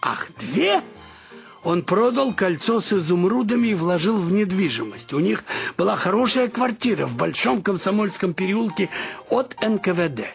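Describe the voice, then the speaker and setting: Here is an excitable, nostalgic grandfather from a radio play. His thin voice sits very high and creaks, with lively swings in pitch. Soft music plays faintly behind him. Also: normal speed, full character voice. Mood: wistful reminiscing. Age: older.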